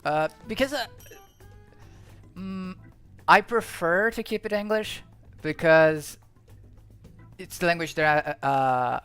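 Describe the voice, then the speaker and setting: A man's voice: on the high side, slow pace, pauses often, a bit bright, smooth, faint music behind. Rambling Brazilian-accented young man streaming. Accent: Brazilian Portuguese. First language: Portuguese. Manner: rambling, thinking aloud with frequent restarts. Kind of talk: casual gameplay commentary.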